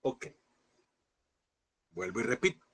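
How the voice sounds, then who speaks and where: A man teaching a Bible class, asking his listeners questions, repeating them and slowing down on key points. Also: fairly bright tone. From El Salvador. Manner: addresses listeners as brothers, asks and repeats questions; slows and stresses key words.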